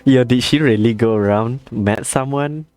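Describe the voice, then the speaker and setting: A man's voice: medium pitch, moderate pace, darkish tone, no room sound, soft background music. A young man giving casual, slangy gameplay commentary, reading dialogue choices aloud as he plays. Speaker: gamer playing and reacting.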